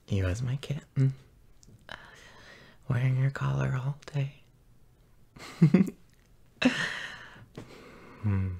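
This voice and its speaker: A man playing a tender, possessive, gently dominant boyfriend in an intimate audio roleplay, often whispering in a soft, breathy murmur. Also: medium pitch, full character voice. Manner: slow, soft, murmuring with pauses.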